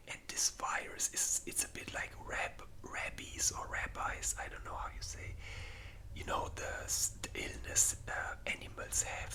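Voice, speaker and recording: An ASMR host speaking entirely in a breathy whisper, rambling informally through a casual review and often searching for words. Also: sharp tone.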